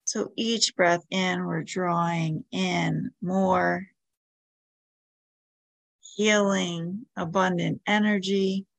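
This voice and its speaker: A woman with a lowish voice guides listeners through a meditation. Her instructions are soothing and gentle, spaced by long pauses, with noticeable fry in the voice. The sound is close and dry. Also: fairly even intonation, no echo, poor audio. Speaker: podcast host, meditation guide.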